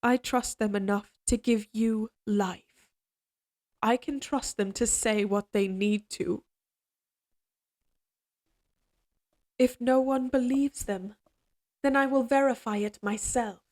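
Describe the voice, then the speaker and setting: A woman voicing an obsessively devoted, quietly menacing evil queen in an audio drama, speaking in a formal, measured, imperious way. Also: on the high side, fairly even intonation, natural voice. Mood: imperious resolve. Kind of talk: scripted dramatic audio roleplay.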